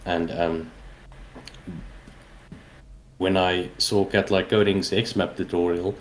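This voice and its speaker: Male game developer, forties, South African accent, native Afrikaans, low-pitched, conversational, noise behind him. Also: level tone, slow, poor audio. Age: 40s. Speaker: interview guest, indie game developer. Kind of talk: casual interview answers.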